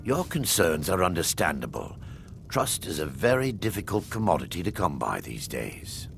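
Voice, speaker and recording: A grave priest from a fantasy video game. His very deep voice moves expressively through formal, measured phrases. He sounds earnest and soothing, with music playing behind him. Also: sing-song, creaky, a bit bright, heavily acted. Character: grave, soothing male elven priest keeping secrets.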